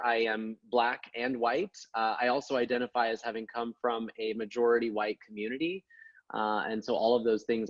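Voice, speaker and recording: A man from Oregon introducing himself as a podcast guest and advocate, speaking earnestly and in a measured way with frequent small fillers. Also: mid-range voice, a bit bright, no echo. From Portland, Oregon, USA. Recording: dull, dry, lo-fi sound.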